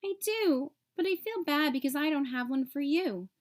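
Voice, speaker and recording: A woman's voice: sits high, lively intonation, moderate pace, pitched above the performer's own voice. A woman voicing an earnest, sensitive young boy turtle in a children's storybook, childlike and emotional. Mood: regretful.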